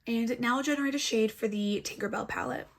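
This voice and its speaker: A woman's voice: on the high side, brisk, gravelly. A woman with a stuffy, congested nose, chatting casually and with self-deprecating humour through a makeup tutorial, recorded indoors at home on a laptop microphone. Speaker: beauty vlogger. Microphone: laptop built-in mic.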